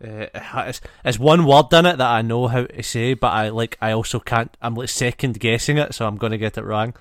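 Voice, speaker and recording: A man co-hosts a podcast in a mid-pitched, very clear voice. He rambles and jokes casually, recorded close in a dry space. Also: a little fast, no echo. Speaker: podcast co-host. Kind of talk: casual banter.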